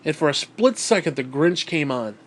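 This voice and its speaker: A man's voice: fairly high pitch, animated, moderate pace. A man with a stuffed-up, nasal voice, talking in a bitter, profane, rambling monologue into a tablet. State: stuffed-up nose. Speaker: vlogger.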